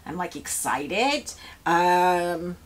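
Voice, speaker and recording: A woman's voice: lowish voice, expressive, extremely slow. Chatty woman in her fifties. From Louisiana, US. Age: 50s. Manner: chatty, rambling, with many fillers and restarts; works with seedling trays while talking. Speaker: flower farmer. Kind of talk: casual chat to camera. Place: indoor seed-starting shed with grow shelves.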